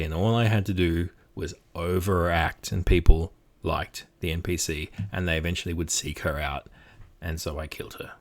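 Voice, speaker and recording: An Australian man, a game master, giving casual tips in a chatty, self-deprecating, encouraging way. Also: deep voice. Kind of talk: casual instructional talk.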